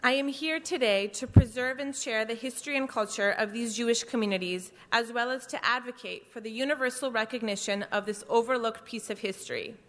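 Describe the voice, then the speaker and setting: A woman's voice: on the high side, fairly quick, few pauses. A woman speaking for an organization at a council meeting, reading an earnest prepared statement. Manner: earnest, reads from a prepared speech. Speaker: advocate for an organization. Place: large council chamber. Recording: long hall reverb.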